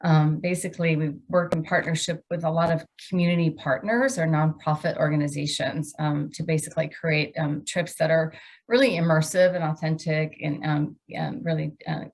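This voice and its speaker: A woman, founder of a travel company, hosting a webinar presentation, speaking hesitantly with frequent pauses and restarts. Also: sits low, level tone, creaky, darkish tone. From United States. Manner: hesitant, many fillers and restarts.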